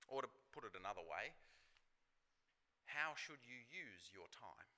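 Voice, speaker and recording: A man gives a sermon with a very bright, ringing voice. He talks to the congregation in a chatty, relaxed way, with casual fillers, at a middle pitch. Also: measured pace. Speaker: preacher.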